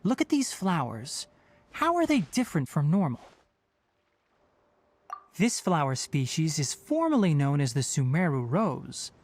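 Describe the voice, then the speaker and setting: A composed young scientist from a video game. The delivery is precise and formal, like a lecture, with clinical phrasing carried on a very mobile pitch. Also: a little mellow. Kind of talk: scripted video game dialogue.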